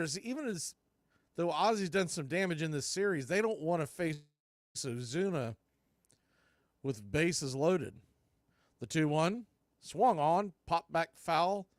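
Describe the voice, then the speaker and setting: A man's voice: on the high side, lively intonation, unhurried, pauses often, dry recording. A man doing live baseball play-by-play as a stream host, mixing clipped calls with folksy, joking asides and casual chat.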